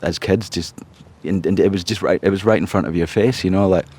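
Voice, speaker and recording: A man with a Glasgow Scottish accent, a podcast guest talking casually, often pausing and restarting his sentences. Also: sits low, a little mellow. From Scotland. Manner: hesitant, many restarts and fillers. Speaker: podcast guest, charity founder. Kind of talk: casual interview chat.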